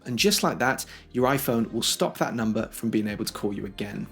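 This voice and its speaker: A man's voice: lowish voice, lively intonation, brisk, husky, soft background music. A man hosting a tech tutorial, explaining things step by step in a conversational way. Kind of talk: instructional how-to narration for video.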